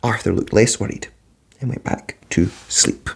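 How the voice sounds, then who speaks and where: A man reading a children's picture book aloud in a gentle, expressive bedtime-story manner. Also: fairly low pitch, moderate pace, creaky, slightly rough.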